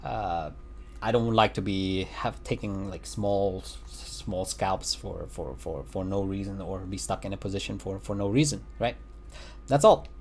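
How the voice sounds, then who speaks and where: Man giving halting chart commentary. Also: deep voice, a little mellow. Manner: halting, many fillers and restarts; chuckles at own remarks. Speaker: crypto market analyst. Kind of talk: casual chart analysis commentary. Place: home.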